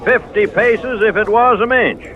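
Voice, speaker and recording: An actor playing a teasing, boastful frontier saloon regular in a radio drama, talking in a folksy manner. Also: male voice, high-pitched, a little slow, highs cut off.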